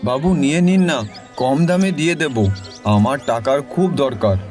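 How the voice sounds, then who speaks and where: A man's voice: fairly high pitch, measured pace, darkish tone, faint music behind, pitched above the performer's own voice, lightly acted. A man voicing a humble, honest young village son in a cartoon, earnest and polite. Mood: imploring. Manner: earnest, pleading. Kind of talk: cartoon dialogue.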